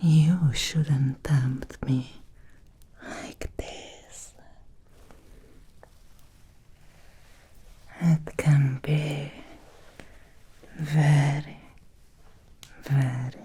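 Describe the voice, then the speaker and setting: A seductive, obsessed vampire played by a woman in a Victorian ASMR roleplay. Her voice is a dark, breathy whisper, unhurried in the extreme. She lingers over words with intimate, hungry menace. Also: sits low, fairly even intonation, exaggerated voice. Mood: teasing menace. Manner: slow, deliberate, intimate, teasing with drawn-out pauses.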